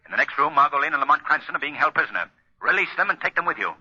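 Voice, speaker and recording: An actor playing an urbane, assured gentleman crime-fighter with dry understatement, in 1940s radio drama style. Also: a little high, animated, very fast, heavily acted. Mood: commanding. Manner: assured, dryly understated, crisp orders. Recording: dull, lo-fi 1940s radio recording.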